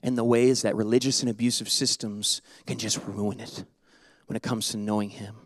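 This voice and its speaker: A man in his thirties preaching a sermon on a church stage into a handheld mic, animated and joking, then dropping to soft earnest tones. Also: lowish voice, moderate pace, no room sound. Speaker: pastor.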